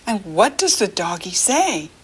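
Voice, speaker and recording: Slow, husky American woman teaching children. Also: medium pitch. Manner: encouraging, asks questions and leaves room to answer; animated, sing-song child-directed talk. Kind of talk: read-aloud picture-book lesson for young children.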